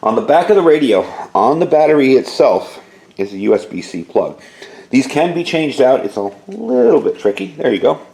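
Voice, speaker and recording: A man's voice: mid-range voice, sing-song, moderate pace, creaky, mellow. A man giving a casual, rambling product review as a hobbyist, explaining features in a conversational way. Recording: echoey room with faint background noise.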